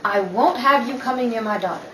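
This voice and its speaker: A woman's voice: on the high side, fairly quick, a bit bright, lightly acted. A woman playing a proud, wounded society wife and mother, firm and indignant in a dramatic 1930s-style radio play. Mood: indignant resolve. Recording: echoey room, distant mic, faint noise.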